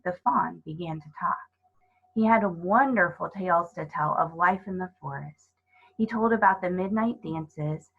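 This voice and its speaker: Husky woman reading a children's story. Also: mid-range voice, phone-quality sound. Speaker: storybook reader. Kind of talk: expressive children's story narration.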